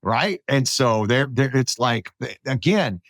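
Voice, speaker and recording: An American man hosting a podcast, talking politics casually in a halting way, repeating words as he goes. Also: medium pitch, lively intonation, fairly bright tone, no echo. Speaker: podcast host.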